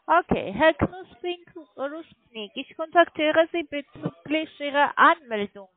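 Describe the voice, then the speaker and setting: A woman telemarketer with a foreign accent, not a native German speaker, making an insistent, halting phone sales pitch and often checking for agreement. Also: lively intonation. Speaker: call centre telemarketer selling online trading. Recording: muffled phone line, fluctuating microphone volume.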